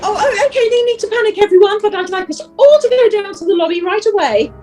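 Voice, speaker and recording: A woman's voice: very high-pitched, expressive, fast. A woman playing a flustered but polite official guiding people in an audio drama. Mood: polite urgency.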